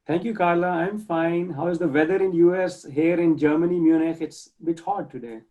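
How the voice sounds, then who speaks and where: Man with a Pakistani accent, 30s, earnest guest on a video call; slightly high, gravelly voice, dull lo-fi audio. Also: a little fast. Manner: earnest, restarts words while searching for English phrasing. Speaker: guest, project manager and nonprofit volunteer. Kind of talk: casual interview talk. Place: home room, indoors.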